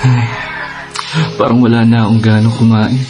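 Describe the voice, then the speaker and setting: An actor playing a tearful young man grieving his father, speaking haltingly with a Filipino accent in a radio drama. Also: mid-range voice, flat delivery, mellow, soft background music, natural voice. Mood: subdued.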